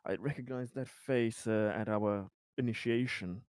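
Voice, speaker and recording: A man improvising in-character dialogue as a player in a tabletop game, speaking haltingly in courteous, slightly formal phrasing. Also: on the low side, a little mellow, clean tone, no room sound, studio quality.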